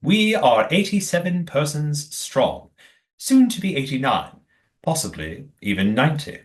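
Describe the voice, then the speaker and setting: A man playing a calm, secretive leader of a commune in an audio play, speaking in a formal, measured, evasive way. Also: a little high. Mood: guarded, matter-of-fact.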